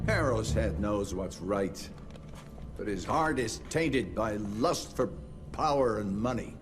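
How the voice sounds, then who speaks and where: A man's voice: lowish voice, lively intonation, takes it slowly, soft background music. A man playing an avuncular, grandiloquent older institute director in a video game cutscene. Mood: grave, resolute.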